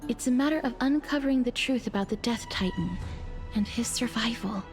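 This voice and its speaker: A woman's voice: fairly high pitch, a little fast, over music, lightly acted. Woman playing a solemn, resolute game heroine. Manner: earnest, restrained, formal. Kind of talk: dramatic video game voice acting.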